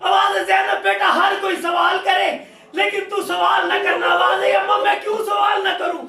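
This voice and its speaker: A man giving an impassioned mourning sermon, declaiming and shouting his lament to a gathering. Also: extremely high pitch, level tone, normal speed, gravelly. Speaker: mourning reciter. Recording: echoey hall from far off, faint crowd.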